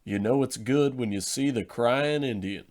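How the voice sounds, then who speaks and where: A man playing a folksy old-time advertising pitchman, selling in a parody of vintage radio sponsor spots. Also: moderate pace, a little mellow, close to an everyday voice. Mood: smooth selling.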